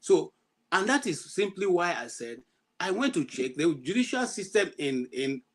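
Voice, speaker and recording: A man's voice: sits high, very animated, normal speed. A middle-aged Nigerian man with an Igbo accent, arguing insistently on a panel and slipping into pidgin. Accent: Nigerian Igbo English. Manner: insistent, argumentative, mixes in pidgin. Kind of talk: heated panel discussion.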